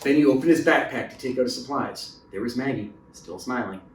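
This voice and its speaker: A man's voice: medium pitch, fairly quick, slight background noise. A man reading a children's story aloud as a narrator, recorded in a roomy space away from the mic. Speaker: storybook reader. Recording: roomy echo, distant mic.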